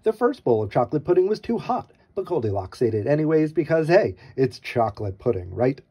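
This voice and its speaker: A man's voice: a little high, very animated, fairly quick, warm tone, slightly rough. A man reading a children's story aloud with wry, theatrical mock innocence.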